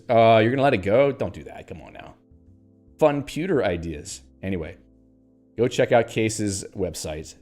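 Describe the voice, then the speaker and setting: A man hosting a casual livestream, chatting in a rambling way with fillers and restarts and reading out viewer comments. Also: medium pitch. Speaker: livestream host.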